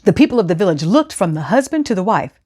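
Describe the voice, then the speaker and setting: Woman reading stories aloud, animated pitch. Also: quick pace. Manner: expressive, teacherly, addressing children and parents. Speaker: host of a children's read-aloud storytime show. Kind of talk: expressive storybook reading with scripted instructions.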